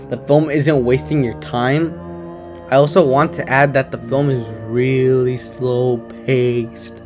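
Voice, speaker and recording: A man with a slightly hoarse voice and occasional voice cracks, giving a chatty, rambling movie review at home. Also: mid-range voice. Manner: chatty, rambling, enthusiastic opinions. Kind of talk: casual non-spoiler movie review.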